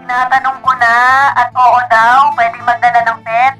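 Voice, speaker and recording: A woman's voice: on the high side, level tone, normal speed, over music, lightly acted. A woman acting in a radio drama as an obliging, easygoing friend. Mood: agreeable. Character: obliging, easygoing female friend arranging a trip.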